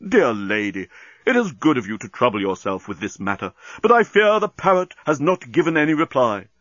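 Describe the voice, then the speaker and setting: A man's voice: mid-range voice, big pitch swings, a little fast, a bit bright, no room sound, muffled recording. A man playing a blustering, doom-laden alien starship captain in an audiobook, emphatic and formal. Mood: courtly despair.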